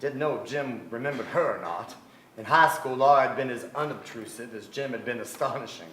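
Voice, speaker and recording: A man's voice: mid-range voice, fairly quick, a bit bright, lightly acted. An actor playing a brooding, restless son who recalls his family in a theatrical staged reading. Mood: wry, remembering. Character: brooding, restless adult son, narrator of memories. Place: theatre stage before an audience. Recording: echoey theatre stage, some noise.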